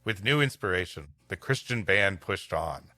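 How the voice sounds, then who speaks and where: A man's voice: sits low, lively intonation, normal speed, sharp tone, no room sound, faint music behind. A man hosting a comedy podcast, reading an old story aloud expressively and breaking off for banter. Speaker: podcast host and story reader.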